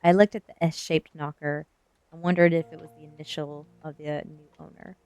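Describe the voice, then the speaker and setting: A woman's voice: low voice, little pitch movement, moderate pace, darkish tone. A woman reading a first-person novel aloud as ASMR storytelling.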